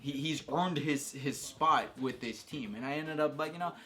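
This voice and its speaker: A man's voice: on the high side, normal speed, sharp tone. A man giving casual, unscripted video game commentary as a host, talking enthusiastically with slang and emphatic exclamations.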